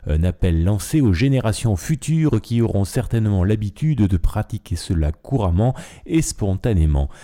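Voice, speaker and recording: A man hosting a radio jazz program, presenting records in a measured, articulate way with casual asides. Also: fairly low pitch, big pitch swings, mellow.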